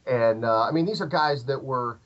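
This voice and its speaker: Man, 30s, southern Illinois accent, meandering chat, mid pitch, lo-fi recording. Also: normal speed. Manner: rambling, lots of fillers and restarts. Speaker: podcast guest, musician. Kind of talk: casual interview chat. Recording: dull lo-fi podcast audio.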